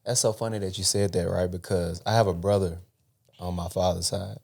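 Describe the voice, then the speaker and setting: A man hosting a podcast in casual conversation, supportive and quick to affirm his guest. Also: low voice, fairly even intonation, vocal fry, darkish tone. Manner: supportive, frequent affirming interjections. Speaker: podcast host.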